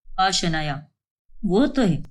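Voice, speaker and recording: An earnest, trusting young husband from a cartoon story, as a woman voices him far below her own pitch. His lines come out lively and pleading. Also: takes it slowly, full character voice. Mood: gentle.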